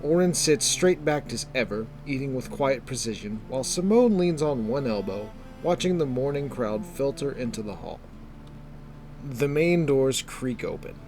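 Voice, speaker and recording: Guy narrating a fantasy tale, measured and descriptive, middle pitch, light music behind. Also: animated, a little mellow. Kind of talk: scripted fantasy story narration.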